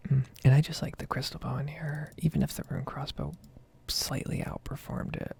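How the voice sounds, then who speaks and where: A woman's voice: sits low, fairly even intonation, moderate pace, vocal fry, a little mellow, breathy edge. A young American woman in her twenties giving a rambling, casually sweary ASMR gameplay commentary close to the microphone. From United States. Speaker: gaming video creator.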